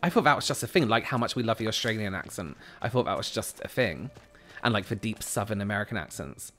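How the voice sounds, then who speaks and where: A man in his thirties with a South London accent, chatting casually with his viewers while he plays a game on a headset mic at home. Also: fast talker. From England. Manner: chatty, animated, jumps into a high squeal when excited. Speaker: streamer. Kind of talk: casual chat while gaming, reading chat aloud. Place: small room at home. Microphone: headset with boom mic.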